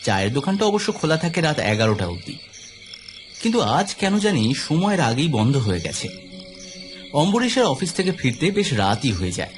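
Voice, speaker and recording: A man narrating a scripted audio story in an expressive, suspenseful, dramatic storytelling style. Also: a little high, moderate pace. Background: clear background music.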